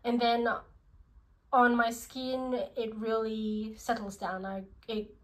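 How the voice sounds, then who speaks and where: An Australian woman talks through her perfumes in a slow, gentle way. Her voice sits a little high and is thick and congested from a cold. Her delivery is unhurried and casual. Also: little pitch movement. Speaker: perfume vlogger. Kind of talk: casual product review.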